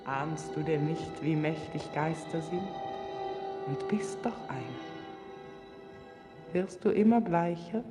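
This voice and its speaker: A woman playing an ancient, yearning spirit in a poetic radio drama, speaking in a hushed, solemn incantation. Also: low-pitched, slow, pauses often, music in the background. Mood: solemn, incantatory. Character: ancient otherworldly spirit, yearning, majestic and possessive. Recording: long reverberant hall.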